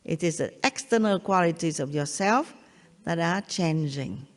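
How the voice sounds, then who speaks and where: An older woman from Vietnam with a Vietnamese accent, giving a spiritual talk in a halting way, often repeating herself and checking in with listeners. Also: lowish voice, lively intonation. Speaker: spiritual teacher. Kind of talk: satsang talk. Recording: long hall reverb.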